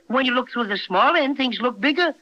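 A tiny toy bear for an old children's radio play, chatty and boastful. The voice is high and very bright, full of fussy self-importance. It comes through a muffled 1930s radio recording. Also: animated, brisk. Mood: explaining.

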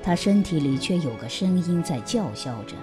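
Female narrator, very low pitch, animated intonation, dramatic scripted storytelling, music behind. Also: moderate pace.

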